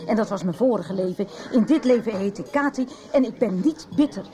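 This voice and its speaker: A woman's voice: medium pitch, brisk, warm tone, soft background music, natural voice. An actress playing an embittered, defiant woman in a radio soap, curt and sarcastic, cutting people off. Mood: bitter, scornful. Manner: curt, sarcastic, cuts others off. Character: embittered, defiant woman rebuffing her former partner. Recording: radio drama with busy crowd ambience behind.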